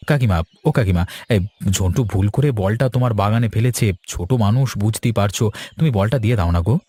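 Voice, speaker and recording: A man's voice: fairly low pitch, quick pace, warm tone, lightly acted. A man voicing an easygoing village father in a cartoon, a polite and coaxing neighbour. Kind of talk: Bengali cartoon story dialogue.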